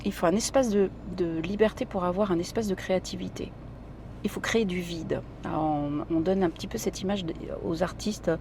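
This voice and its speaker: A woman's voice: on the low side, fairly quick, mellow. Talkative woman chatting in a noisy car. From Italy. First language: Italian. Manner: chatty and talkative, many fillers. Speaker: guest singer. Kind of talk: casual interview chat.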